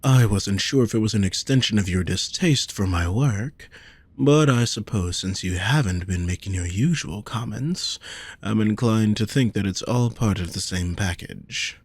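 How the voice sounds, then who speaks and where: A man playing a possessive, lovesick wealthy nobleman in a romantic audio roleplay, speaking formally and deliberately to the listener. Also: fairly low pitch, no echo. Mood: wounded, probing. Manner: formal, measured, deliberate phrasing.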